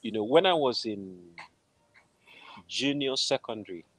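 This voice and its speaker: A man with a Nigerian accent talks casually as a guest on a video call, recounting things in a conversational way. His voice sits at a medium pitch, and the sound is dry with very little room in it. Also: lively intonation, measured pace, fairly bright tone, no room sound. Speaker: interview guest, film composer. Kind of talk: casual interview storytelling. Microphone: wired earphones on a video call.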